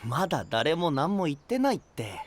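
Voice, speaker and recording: Easygoing, expressive young knight. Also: male voice, a little high, moderate pace. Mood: puzzled, dry. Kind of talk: anime-style voice drama.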